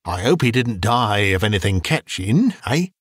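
A man voicing a shrewd old male junk dealer who haggles in a slow, wheedling way. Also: lowish voice, sing-song, breathy edge, no room sound, studio quality. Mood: sly.

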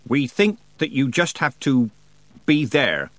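A man voicing a stern, stubborn old witch woman who talks curtly and gruffly. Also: medium pitch, very animated, takes it slowly, fairly bright tone, dry recording, voice pushed up. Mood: gruff, defensive. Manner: curt.

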